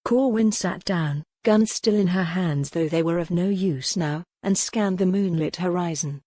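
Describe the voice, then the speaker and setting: A woman's voice: on the low side, a little slow, dark tone, dry recording, studio quality. A woman reading a historical romance novel aloud as a dramatic audiobook narrator.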